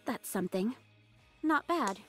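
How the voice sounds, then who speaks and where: A woman playing a blunt, sharp-tongued young woman who hides her fondness behind reproach, in a video game cutscene. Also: fairly high pitch, expressive, unhurried. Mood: grudgingly fond. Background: music.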